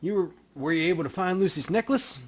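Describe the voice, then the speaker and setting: A man's voice: sits high, expressive, fast talker, voice pushed up. A man voicing a weary, grateful client as he reads game dialogue aloud. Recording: music behind the voice.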